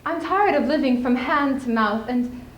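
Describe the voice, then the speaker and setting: A young woman performing a stage monologue as a spirited, scheming widow, speaking emphatically with deliberate theatrical pauses. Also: sits high, close to an everyday voice. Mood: tired, pleading. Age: young adult. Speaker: student speech team performer. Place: indoor school showcase stage. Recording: echoey room, clearly audible background noise.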